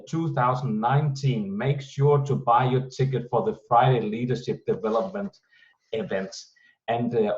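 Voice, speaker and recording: A man hosting an online team meeting, welcoming people and announcing event details, pausing often as he searches for words. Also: mid-range voice, darkish tone. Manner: halting, many pauses while searching for words. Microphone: video call. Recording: muffled video-call audio.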